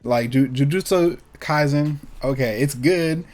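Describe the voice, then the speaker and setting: A man's voice: on the high side, a little slow, a little mellow. An American man co-hosting a casual talk about movies and anime, slangy and opinionated, often repeating himself for emphasis. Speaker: podcast co-host.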